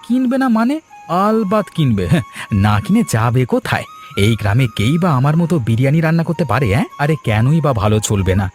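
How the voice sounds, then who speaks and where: A man's voice: a little high, big pitch swings, fast talker, faint music behind. A man voicing a greedy, boastful village biryani seller in a cartoon, with a pushy, brash manner. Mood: smug. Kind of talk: Bengali moral-story cartoon dubbing.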